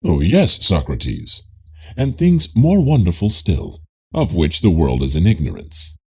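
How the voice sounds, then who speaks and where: A man voicing a self-assured, pious Greek man in an audiobook dialogue, with a pompous, declaiming manner and a gravelly, husky voice. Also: sits low, very animated, a little slow, darkish tone, heavily acted. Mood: eager, boastful. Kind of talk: dramatised audiobook reading of philosophical dialogue.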